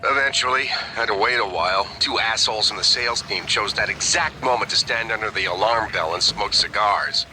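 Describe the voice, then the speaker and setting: An actor playing a proud, prickly gadget-tinkering smuggler in a video game, terse and irritable. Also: male voice, fairly low pitch, brisk, hardly any pauses, background noise, natural voice. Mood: dry, grumbling. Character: gruff, proud male gadget-tinkering smuggler, easily needled. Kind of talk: scripted game dialogue.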